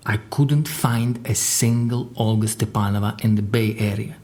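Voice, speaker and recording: Man playing guarded secretary to a crime boss, dry deliberate storyteller, audio drama. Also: fairly low pitch, fairly even intonation, a little mellow, close to an everyday voice. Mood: dry, confiding. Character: formidable Russian crime boss's secretary, guarded male survivor. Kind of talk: audio drama dialogue and narration.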